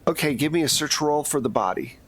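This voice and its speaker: A man's voice: a little high, a little fast, noisy background. A man narrating a tabletop roleplaying game as game master, setting scenes in a casual, conversational way.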